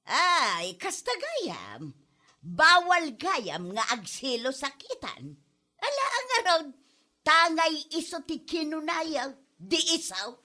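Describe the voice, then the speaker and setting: A woman playing a jealous, hot-tempered elderly wife in an Ilocano comedy drama, nagging and accusing with drawn-out exclamations in an Ilocano Filipino accent. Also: sits high, huge pitch swings, bright, forceful tone, full character voice. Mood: aggrieved, accusing.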